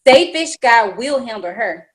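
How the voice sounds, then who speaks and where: A woman's voice: fairly high pitch, animated, unhurried. A woman playing a feisty young female fighter in a fantasy table read, with a sassy, taunting manner.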